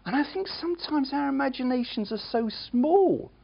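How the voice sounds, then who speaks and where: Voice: high-pitched, lively intonation, moderate pace, vocal fry, dull audio. A preacher delivering a sermon, emphatic and earnest, with chatty, self-deprecating asides to the congregation.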